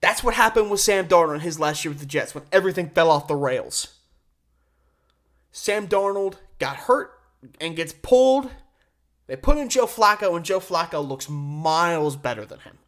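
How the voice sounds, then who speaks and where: A man hosting a solo sports podcast, giving conversational, opinionated commentary with emphatic stresses and deliberate pauses. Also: fairly high pitch, animated, fairly bright tone.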